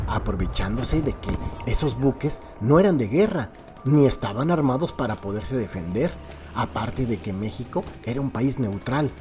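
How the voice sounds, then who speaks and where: A man's voice: medium pitch, warm tone. A man with a Mexican accent narrates a crime radio serial as a dramatic scripted story, addressing listeners directly in a theatrical way. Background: background music.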